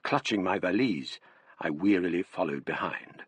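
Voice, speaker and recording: Scholarly English narrator of a gothic radio drama. Low, measured, expressive delivery. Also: male voice, husky, no echo. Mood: brooding, measured. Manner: measured, formal, literary. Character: scholarly Englishman recounting a gothic tale from his past. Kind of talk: first-person literary radio narration.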